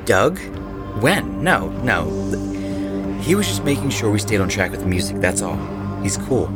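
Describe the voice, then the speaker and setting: A man's voice: fairly low pitch, big pitch swings, moderate pace. An actor playing a cocky, intense young man who leads a rock band, in a horror audio drama. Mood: reassuring. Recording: voice over prominent background music.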